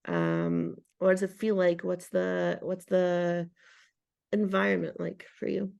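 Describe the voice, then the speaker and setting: A woman host with a mellow, gravelly voice asks her guest questions and answers with brief reactions. The recording sounds dull and lo-fi. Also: fairly low pitch, lively intonation, takes it slowly. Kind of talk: casual interview.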